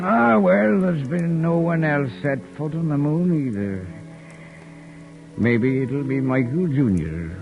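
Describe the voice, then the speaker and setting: An actor playing a teasing, sentimental middle-aged husband in a 1940s radio drama. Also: male voice, medium pitch, gravelly, heavily acted. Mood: dreamy. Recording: muffled old radio broadcast.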